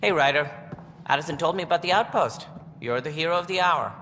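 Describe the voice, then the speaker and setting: A man voicing a calculating, self-important alien director in a video game, with a formal, measured, pompous manner. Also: on the high side, bright, forceful tone, in a large hall, slight background noise. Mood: flattering.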